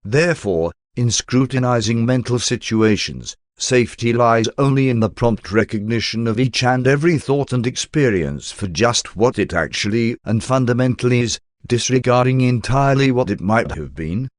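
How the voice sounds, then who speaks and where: A man reading a spiritual text as an audiobook narrator in a formal, measured and solemn manner. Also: medium pitch, lively intonation, dry recording, studio quality.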